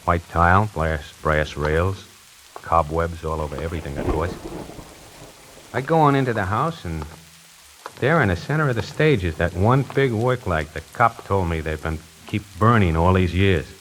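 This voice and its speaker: A man's voice: sits low, moderate pace, a bit bright, a little hiss or hum, poor audio. An actor playing a brash salesman who narrates his own story in a 1940s radio drama, wisecracking and conversational. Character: brash fast-talking male salesman. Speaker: lead and narrator. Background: music score.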